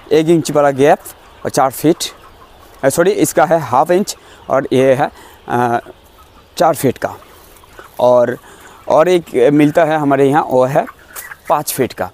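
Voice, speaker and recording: A young man from India explaining farming to camera in an informal, halting way, often repeating phrases. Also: a little high, mellow. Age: young adult. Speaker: poultry farming vlogger. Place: large bamboo poultry shed full of chickens. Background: chickens clucking.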